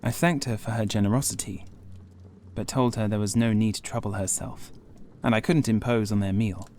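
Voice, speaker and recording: A man reading scripted Gothic horror as an audiobook narrator, in a measured, formal, literary delivery. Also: on the low side, fairly even intonation, a little mellow, a little hiss or hum. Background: faint music.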